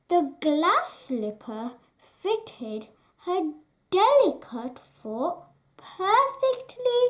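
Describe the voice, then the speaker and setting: A woman reading a children's picture book aloud as a bedtime story, in an expressive sing-song manner. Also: high voice. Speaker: storybook reader.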